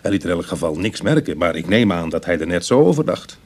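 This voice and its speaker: A man's voice: low voice, big pitch swings, fast, full character voice. An actor playing a self-deprecating ex-burglar in his thirties, talking dryly and colloquially in a Dutch radio play. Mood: uneasy, wry. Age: 30s.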